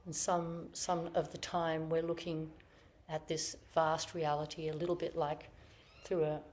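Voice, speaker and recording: Low-voiced woman giving a slow Zen talk. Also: flat delivery, a little mellow, a little hiss or hum. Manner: slow, reflective, searching phrasing. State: slightly croaky from illness. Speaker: Zen teacher. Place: meditation hall with online audience.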